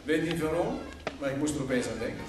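A man's voice: fairly high pitch, quick pace, music in the background, natural voice. An actor playing a sardonic, evasive man at a dying man's side in a radio play, speaking dryly. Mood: wistful. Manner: dry, sardonic. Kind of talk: Dutch radio play acting.

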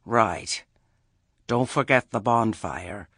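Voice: mid-range voice, takes it slowly, fairly bright tone, husky, no room sound. A stern old country witch woman from a storybook, as acted out by an audiobook narrator. She speaks tersely and sardonically, grudging every word and expecting to be obeyed. The sound is dry and close. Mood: sardonic.

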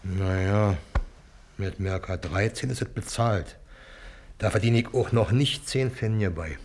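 A man's voice: fairly low pitch, unhurried, darkish tone. An actor playing a crafty old bargeman in a German radio play, grumbling and wheedling in Berlin dialect. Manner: grumbling, plaintive, haggling. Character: wheedling, crafty old bargeman who deals in poached goods.

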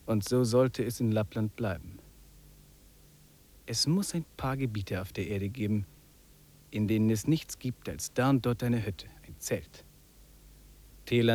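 A man's voice: mid-range voice, darkish tone, lightly acted. Musing English wanderer played by a man. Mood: reflective. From England. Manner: musing, drops in English phrases. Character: English man trekking through Lapland, wry and contemplative. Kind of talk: 1950s German radio drama acting, reflective monologue and dialogue.

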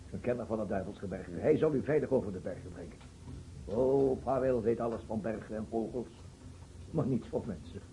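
A man's voice: lowish voice, brisk, warm tone, a little hiss or hum, lightly acted, poor audio. A man acting in a radio play as an agitated, pleading boyar ruler who repeats himself and speaks effusively. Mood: effusive, insistent. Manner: effusive, repeats himself, swears earnest oaths. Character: agitated, pleading male boyar ruler of a war-torn realm.

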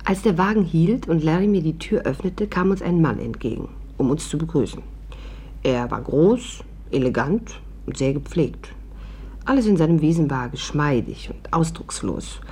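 An actress playing a wry young woman who confides her adventure as first-person narrator of a 1950s radio drama, in a husky voice. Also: low voice, dark tone, exaggerated voice.

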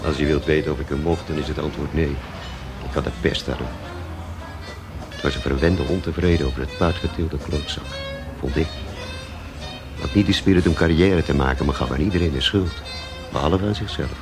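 A man's voice: a bass voice, a little fast, long pauses, over music. An actor playing a cynical, blunt musician and barman in a radio drama, talking in a laconic way. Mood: scornful, cynical. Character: cynical, blunt male drummer and barman in his forties. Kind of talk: naturalistic Dutch radio play acting.

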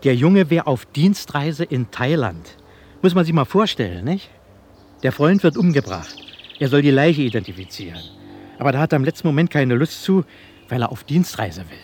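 A man's voice: medium pitch, very animated, normal speed, darkish tone, a little hiss or hum, lightly acted. An actor playing a scornful, grumbling male police investigator in a radio drama, speaking colloquially and emphatically. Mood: indignant, mocking. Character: indignant, sarcastic male police investigator grumbling about a case. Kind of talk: German radio drama acting.

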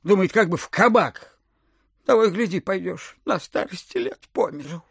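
A man playing a miserly, suspicious landowner in his sixties in an audio drama, with a querulous, husky, rambling delivery. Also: high voice, animated, moderate pace, no echo, clean studio sound, heavily acted. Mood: grumbling. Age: 60s. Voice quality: husky, throat-clearing old man's voice. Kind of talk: theatrical audio drama acting.

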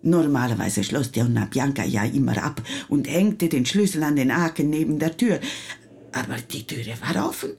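An actress playing an agitated, pleading Italian wife in a German radio play, with an Italian accent and emotional delivery. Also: female voice, low-pitched, animated, normal speed, vocal fry, darkish tone. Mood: anxious. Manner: emotional, slips into Italian exclamations.

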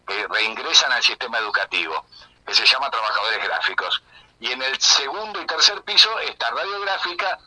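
Older guy from buenos aires accent wise, really sharp bright voice, rambling interview answers. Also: medium pitch, rough recording. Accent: Buenos Aires Argentine. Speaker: radio interview guest, community radio journalist. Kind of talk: unscripted conversational account.

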